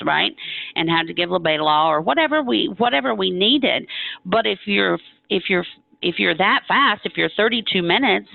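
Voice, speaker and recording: A woman giving an informal explanatory presentation on a conference call as a hospital stroke coordinator, conversational and emphatic, often halting and correcting herself, over a thin telephone line. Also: medium pitch, gravelly, dry recording.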